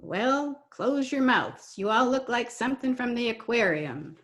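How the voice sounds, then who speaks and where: A woman's voice: a little high, expressive, measured pace, fairly bright tone. A woman playing a brassy, wisecracking Broadway dame with sardonic slang, in old-time radio drama style. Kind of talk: 1940s radio drama acting.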